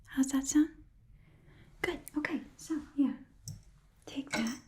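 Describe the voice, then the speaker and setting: A woman voicing an eager, folksy goblin pawn shop keeper in a soft whispered ASMR roleplay, murmuring haltingly to a customer. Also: high-pitched, a little hiss or hum. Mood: eager, friendly. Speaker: ASMR performer playing a shopkeeper.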